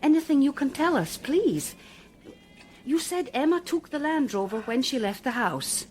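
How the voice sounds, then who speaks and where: A woman's voice: sits high, animated, moderate pace, a little mellow, a little hiss or hum. A woman acting in a radio drama as an earnest police detective, coaxing and persistent in her questioning. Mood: probing.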